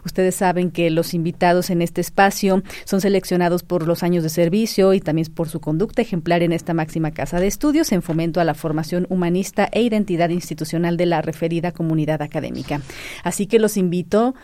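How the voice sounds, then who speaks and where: A woman's voice: lowish voice, fairly quick, mellow. A woman with a Mexican accent hosting a radio interview in a formal, courteous, ceremonious way. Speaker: radio programme host.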